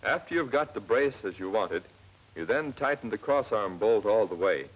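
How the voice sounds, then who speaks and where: An American man narrating a 1940s military instructional film in a plain, measured, step-by-step manner, on an old film soundtrack. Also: lowish voice, lively intonation, husky, poor audio. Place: scripted voice-over for film.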